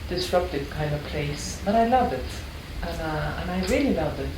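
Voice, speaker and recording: A woman's voice: deep voice, lively intonation, moderate pace, distant mic. An older woman from Ecuador, a longtime art history teacher, telling her story in an earnest, reflective way with frequent restarts. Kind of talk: personal storytelling interview. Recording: echoey room, mic set back, background noise.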